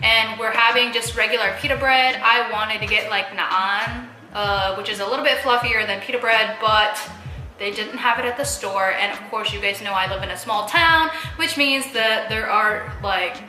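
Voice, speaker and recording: A woman's voice: a little high, normal speed, bright, forceful tone, echoey room, soft background music, low-quality recording. A young woman talking casually to her viewers while eating, chatty, laughing often and using plenty of fillers. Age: young adult. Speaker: mukbang vlogger.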